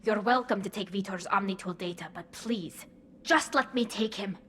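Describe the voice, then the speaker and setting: An actress playing an earnest, principled young alien engineer in a sci-fi game, speaking with passion. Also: female voice, medium pitch, normal speed, fairly bright tone, slight background noise, natural voice. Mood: pleading. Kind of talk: cinematic sci-fi game dialogue.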